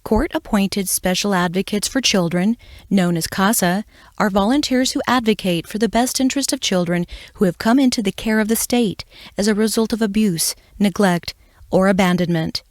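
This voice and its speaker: A woman's voice: medium pitch, moderate pace, dry recording, studio quality. A woman reading a scripted public service announcement for radio. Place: dry studio, close mic.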